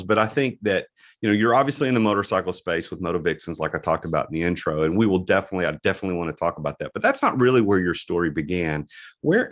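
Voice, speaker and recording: Deep-voiced man hosting a chatty podcast. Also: a bit bright. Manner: rambling, restarts often, laughs easily. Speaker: podcast host. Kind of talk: casual interview chat. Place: home studio, on a video call.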